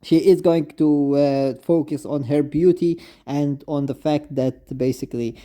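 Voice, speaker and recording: A man with a fairly high-pitched but very soft, dark tone gives off-the-cuff commentary as a video game fan. He is a non-native English speaker, effusive in his praise, and he often halts and repeats himself. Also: steady tone. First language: not English. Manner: effusive, halting, repetitive. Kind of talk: unscripted fan commentary, reading lines aloud.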